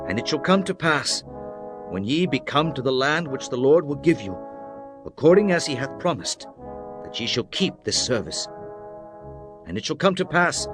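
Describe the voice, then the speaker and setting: A man playing a commanding prophet who addresses his elders in a solemn, declamatory way, in a dramatised scripture reading. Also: fairly high pitch. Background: music.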